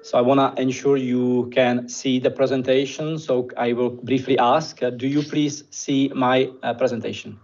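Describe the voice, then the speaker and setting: A man from the Czech Republic with a Czech accent, giving a formal conference presentation over a video call in a measured way, with frequent hesitations. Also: medium pitch, flat delivery, darkish tone. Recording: dull muffled video-call audio.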